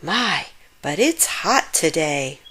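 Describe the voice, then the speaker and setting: A woman's voice: sits low, big pitch swings, takes it slowly, a bit bright, heavily acted. A woman voicing an adventurous, hearty old grandfather in a storybook, with a theatrical, emphatic manner. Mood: puffing. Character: adventurous, hearty old grandfather, confidently oblivious, fond of tales. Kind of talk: storybook character voice.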